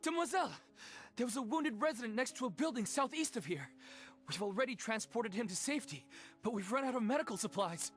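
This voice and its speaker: An actor voicing a dutiful, brisk rescue squad subordinate in a video game, reporting formally. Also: medium pitch, lively intonation, soft background music, lightly acted. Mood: urgent, dutiful.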